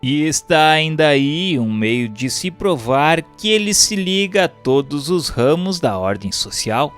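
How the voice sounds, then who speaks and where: Brazilian male narrator, slightly high register, very unhurried formal book reading over a music bed. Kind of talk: scripted audiobook narration.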